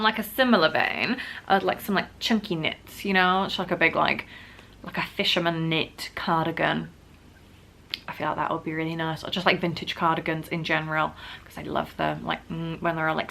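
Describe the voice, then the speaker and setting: A woman's voice: sits low, fairly even intonation, normal speed, creaky, a bit bright, a little hiss or hum. A woman vlogger, sick and wheezy with a bad cough, chatting casually to camera and poking fun at herself. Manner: chatty, self-mocking, many "like" fillers.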